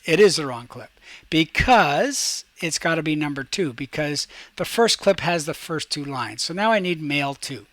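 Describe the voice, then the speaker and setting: Higher-voiced male teacher, dry close sound. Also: expressive, moderate pace, a bit bright, no echo. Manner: talks through each step while demonstrating, checks in with "okay". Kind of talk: software tutorial for a class, casual instruction.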